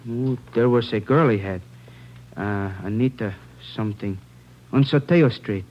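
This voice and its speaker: An actor playing a rueful, obliging grocer in his thirties, speaking in a halting, earnest, plain way in an old radio drama. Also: on the low side, close to an everyday voice. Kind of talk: 1940s-50s radio drama acting. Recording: dull old radio recording.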